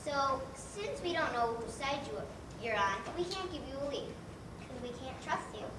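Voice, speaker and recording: A distant, echoey stage carries a woman acting a suspicious guardian creature. The high voice is stern and formal, accusing in a children's play manner. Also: expressive, normal speed. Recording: echoey stage from afar, noisy background.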